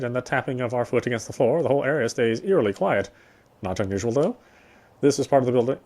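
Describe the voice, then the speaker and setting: A man reading first-person visual novel narration quickly, with frequent slips and corrections. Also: mid-range voice, darkish tone.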